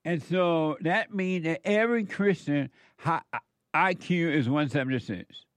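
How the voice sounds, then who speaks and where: A man's voice: on the high side, a little slow, no room sound. Playful casual man, dry close sound. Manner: laughing, repetitive, playful. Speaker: show host. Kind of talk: casual chat reacting to posts.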